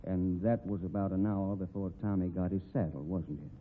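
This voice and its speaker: An actor with an American accent playing a dogged, folksy western amateur sleuth in old radio drama, reasoning in rustic grammar. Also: male voice, sits low, moderate pace, slight background noise, lightly acted. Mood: probing. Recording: tinny, narrow-band old radio recording.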